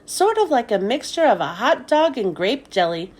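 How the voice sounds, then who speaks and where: A woman voicing a timid, earnest young schoolboy in a squeaky, childlike way for a storybook. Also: very animated, moderate pace, darkish tone. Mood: pleased, puzzled.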